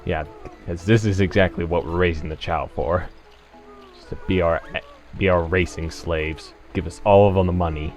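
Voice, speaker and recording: Low-voiced man doing casual game commentary. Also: fairly even intonation, normal speed. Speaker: let's play host. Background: game music.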